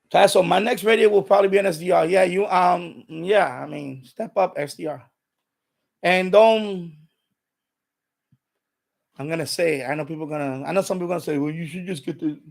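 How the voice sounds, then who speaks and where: A man's voice: high-pitched, normal speed, vocal fry, long pauses. A man hosting an unscripted hobby livestream, chatting in an emphatic, opinionated way and repeating himself to drive points home. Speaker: live stream host.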